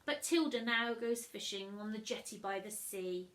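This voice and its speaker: A woman reading a storybook aloud to children as a teacher would, animated and emphatic, talking directly to young listeners. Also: medium pitch, moderate pace.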